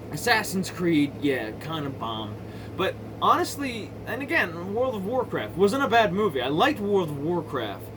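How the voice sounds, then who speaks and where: A man's voice: a little high, background noise. A man giving casual, rambling movie commentary in an opinionated, sweary and emphatic way. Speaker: amateur movie reviewer.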